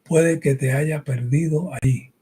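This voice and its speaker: A man's voice: on the high side, fairly even intonation, measured pace, dark tone, slightly rough. A man raised bilingual in English and Spanish, preaching a didactic, emphatic teaching sermon and often checking in with short rhetorical questions. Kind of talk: teaching sermon with scripture reading.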